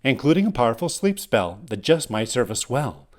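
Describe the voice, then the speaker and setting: A man's voice: mid-range voice, sing-song, normal speed, close to an everyday voice. A man voicing an old, pompous talking amulet in a fantasy story, lecturing in formal, easily affronted phrases. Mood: condescending.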